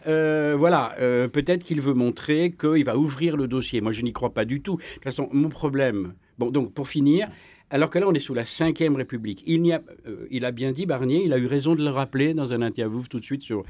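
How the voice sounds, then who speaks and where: A man in a radio studio debate speaks at an ordinary pitch, his voice climbing as he presses each argument. He restarts sentences often and talks over the others. The sound is dry and close. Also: a little fast, a little mellow, no echo. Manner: emphatic, rising pitch when pressing a point, many restarts. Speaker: historian guest.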